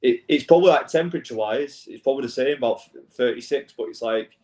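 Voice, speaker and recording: A man's voice: mid-range voice, fast, a little mellow. Laid-back man on a roomy call. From England. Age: 20s. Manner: laid-back, swearing, says mate a lot. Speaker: guest. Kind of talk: casual chat. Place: an apartment in Bangkok.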